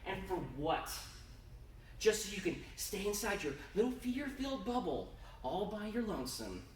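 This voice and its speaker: A man voicing a furious, fed-up wife berating her husband in a live story reading, shouting and biting. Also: high voice, big pitch swings, moderate pace, voice pushed up. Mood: exasperated, bitter. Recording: roomy, noisy live room, distant mic.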